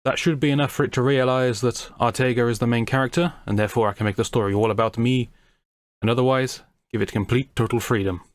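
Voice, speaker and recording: A man narrating a scripted story in a wry, theatrical manner with deliberate phrasing. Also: mid-range voice, fairly even intonation. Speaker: creator and narrator. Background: music.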